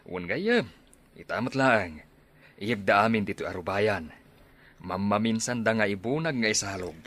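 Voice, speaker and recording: A man playing a plain-spoken, protective village chieftain father in a radio drama, with a Filipino accent and a measured delivery. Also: lowish voice, animated, fairly bright tone. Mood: businesslike, agreeable.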